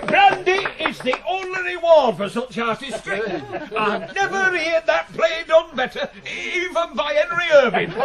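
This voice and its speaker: An actor playing a merry, effusive country gentleman in a radio drama, theatrical and hearty, with a noisy crowd behind. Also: extremely high pitch, expressive, slow pace, full character voice. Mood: merry, exuberant.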